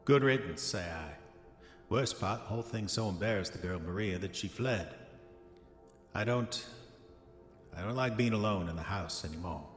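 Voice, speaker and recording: An actor playing an arrogant, dissolute diarist of the 1910s, speaking formal old-fashioned entries in a pompous manner for a horror audio drama. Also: male voice, on the low side, expressive, normal speed, long pauses, lightly acted. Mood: bitter, uneasy. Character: arrogant, dissolute 1910s male diarist, self-satisfied, increasingly haunted. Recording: echoey long reverb, music underneath. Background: music.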